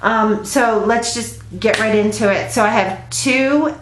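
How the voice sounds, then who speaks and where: A woman's voice: fairly high pitch, unhurried, roomy sound, a little hiss or hum, rough recording. A middle-aged woman with a stuffy cold, chatting at home in a rambling, casual way with lots of ums as she hosts her stitching vlog. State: congested with a cold. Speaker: flosstube host. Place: at home, indoors.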